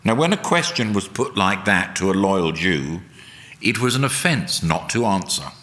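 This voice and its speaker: A man reading a solemn, dramatic narration in a deliberate, emphatic oratorical way. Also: sits low, big pitch swings, bright, forceful tone. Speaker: reader at a church service. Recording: long hall reverb.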